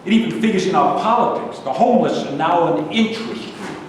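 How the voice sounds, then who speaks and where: Man playing judgmental grumbling loner, stage reading, halting rants, highish voice, distant mic with heavy echo. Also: animated. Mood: bitter, grumbling. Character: grumbling, nostalgic, judgmental male city loner. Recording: very echoey hall, distant mic, faint noise.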